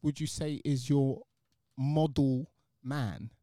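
A man's voice: mid-range voice, slow, dark tone, dry recording, clean studio sound. A man hosting a podcast, asking questions in a casual interview style. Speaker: podcast host.

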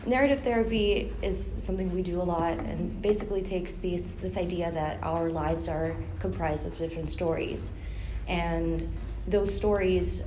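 A woman from Ukraine hosting a conference panel, speaking hesitantly with many fillers. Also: on the low side. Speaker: panel host, psychologist. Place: conference room. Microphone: room mic, recorded for podcast. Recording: echoey room with background noise.

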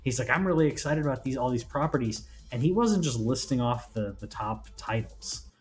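A man's voice: medium pitch, expressive, brisk, soft background music. A man co-hosting a gaming news show in a loose, friendly, informal conversational way. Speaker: gaming news podcast co-host. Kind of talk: casual chat.

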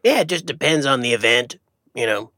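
A man voicing a touchy, silly possum who co-hosts a comedy podcast, with a gravelly muppet-like voice and folksy, indignant manner. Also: mid-range voice, animated, fairly quick, bright, forceful tone, no room sound, clean studio sound. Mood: silly, cheerful. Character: touchy, silly male possum podcast sidekick, a wild animal. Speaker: podcast co-host. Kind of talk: comic banter.

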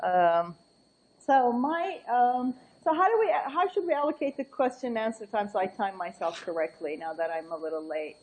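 Animated middle-aged woman. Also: fairly high pitch, moderate pace, a little hiss or hum, poor audio. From Turkey. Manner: animated, laughing asides, thinking aloud. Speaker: invited book talk speaker. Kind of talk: academic talk with anecdotes.

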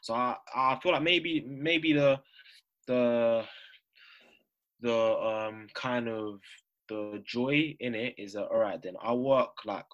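A man's voice: mid-range voice, slow pace, fairly bright tone, highs cut off. A young man, talking casually as an interview guest and dotting his phrases with "yeah". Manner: informal, punctuates phrases with "yeah" and "you know". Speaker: interview guest, business owner.

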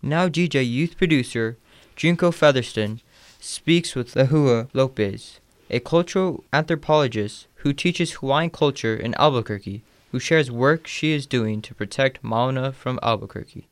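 Male co-host, crisp and mid-pitched, formal scripted radio announcing. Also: moderate pace, dry recording.

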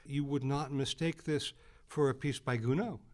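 A man's voice: mid-range voice, fairly even intonation, moderate pace. A man giving an enthusiastic, conversational explanation of music as he demonstrates at the piano. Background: piano playing.

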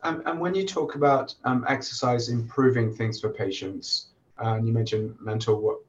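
A man, hoarse from a recent illness, hosting a podcast interview in a relaxed way with many fillers and restarts. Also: mid-range voice, moderate pace, dull audio. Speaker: podcast host and oncologist.

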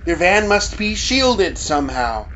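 A man's voice: sits high, very animated, unhurried, fairly bright tone, full character voice. A man playing a sardonic cameraman sidekick who cracks jokes. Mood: joking. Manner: jokey, sardonic. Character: joking male cameraman sidekick. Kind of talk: audio drama acting. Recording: slightly dull audio with faint noise.

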